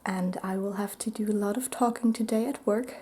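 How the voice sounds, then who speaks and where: A woman chatting casually to camera in a vlog, hoarse and half whispering because she has nearly lost her voice, soft and halting with many pauses. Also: medium pitch, fairly even intonation. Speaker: book vlogger.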